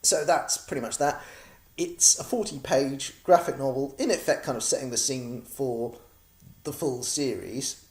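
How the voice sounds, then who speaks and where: A man's voice: medium pitch, measured pace, darkish tone, echoey room. An English man from Norwich giving a casual, rambling update to backers of his comic project, with many ums and restarts. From Norwich, England.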